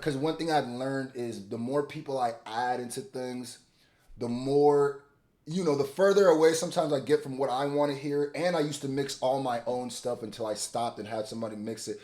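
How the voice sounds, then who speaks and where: A young man, a rapper, talking casually as he reacts to a song, repeating words as he restarts and now and then bursting out loud. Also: mid-range voice, fairly quick. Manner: loose and chatty, repeats words when restarting, sudden loud outbursts.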